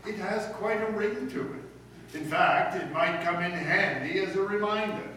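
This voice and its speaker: A man's voice: sits high, a little slow, bright, forceful tone. Echoey, pompous principal played by a man. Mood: ingratiating. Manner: pompous, florid, mock-humble speech. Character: pompous high school principal feigning humble meekness. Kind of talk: old-time radio comedy acting before an audience. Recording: echoey room, distant mic, faint noise.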